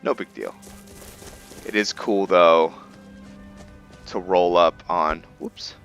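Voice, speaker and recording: A man giving casual let's-play commentary on a video game, thinking aloud in a halting way and trailing off mid-phrase. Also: deep voice, gravelly, fairly bright tone. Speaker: gaming host narrating a playthrough. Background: game music behind the voice.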